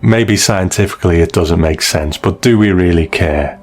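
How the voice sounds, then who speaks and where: Deep-voiced man giving episode commentary. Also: normal speed. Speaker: host reviewing a classic TV episode. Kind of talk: conversational scripted commentary. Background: faint music.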